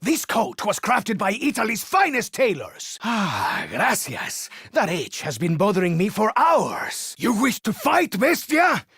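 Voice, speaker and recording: A man's voice: high voice, huge pitch swings, measured pace, few pauses, a bit bright, breathy edge, no echo, clean studio sound, full character voice. An actor with a Spanish accent playing a flamboyant, vain and witty male gunslinger in a video game, with suave, theatrical and playfully boastful delivery. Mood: defiant. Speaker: game character.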